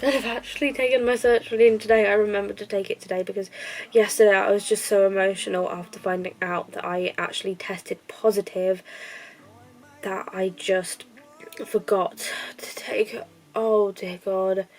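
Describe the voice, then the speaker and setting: A woman's voice: mid-range voice, level tone, normal speed, darkish tone, poor audio. A woman with a stuffy, bunged-up nose, talking candidly at home in a rambling, casual diary vlog. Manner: rambling, filler-heavy, candid. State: stuffy-nosed with a cold. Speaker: vlogger.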